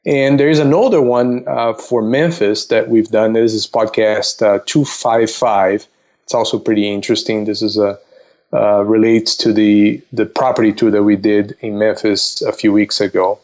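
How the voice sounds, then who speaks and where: A man's voice: on the low side, fairly even intonation, normal speed, a little mellow. Man explaining things over dull lo-fi audio. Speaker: investment counselor, podcast guest. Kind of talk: casual explanatory podcast talk.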